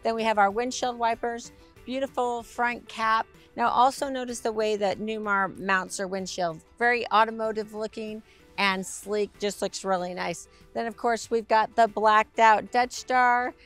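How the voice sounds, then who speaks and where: A woman with a raspy, hoarse voice giving a bubbly, upbeat sales tour of a motorhome. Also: on the high side, steady tone, measured pace, fairly bright tone, soft background music. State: hoarse from a week of talking. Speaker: RV sales presenter. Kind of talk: enthusiastic walkaround tour.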